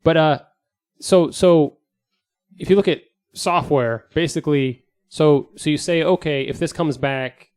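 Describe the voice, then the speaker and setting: A man's voice: fairly high pitch, a little slow, a little mellow, smooth. A man co-hosting a casual podcast, informal and loosely worded, restarting his explanations as he goes. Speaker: podcast co-host. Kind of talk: casual panel discussion. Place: studio.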